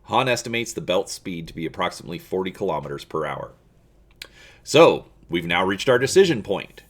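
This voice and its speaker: A man hosting a casual video and narrating an adventure story aloud in a measured, expressive way. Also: deep voice. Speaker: channel host reading a gamebook aloud.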